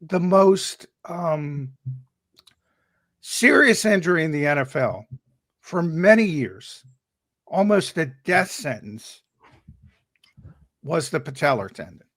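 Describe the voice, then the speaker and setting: A man co-hosting a sports talk show, slightly hoarse because he is losing his voice. He argues his points emphatically and often repeats words as he starts a sentence. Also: a little high, big pitch swings, slow, long pauses, a bit bright. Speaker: co-host and analyst.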